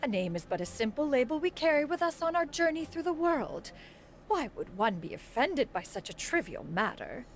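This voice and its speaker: A woman's voice: sits high, very animated, normal speed, slight background noise. A woman voicing a proud, aloof, motherly immortal in a video game, with formal, archaic speech. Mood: dismissive. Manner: formal, haughty, archaic wording.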